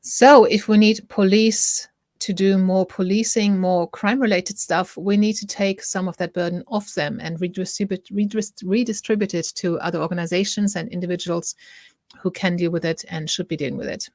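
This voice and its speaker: A woman's voice: fairly low pitch, fairly even intonation, normal speed, darkish tone, no room sound, clean studio sound. A middle-aged woman with a German accent, hosting a casual talk to camera, chatty, often breaking off mid-sentence to ask viewers what they think. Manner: chatty, self-interrupting, asks viewers for opinions. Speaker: host.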